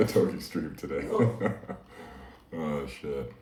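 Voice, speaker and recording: A man's voice: a bass voice, drawn-out words, background noise. A man hosting a casual livestream, talking easily to his chat and laughing often. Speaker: livestream host. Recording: echoey room, mic at a distance.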